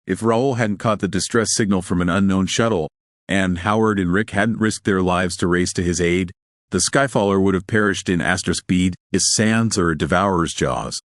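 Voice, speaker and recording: A man's voice: sits low, normal speed, dry recording, clean studio sound. A man reading scripted dramatic narration of a science fiction horror story.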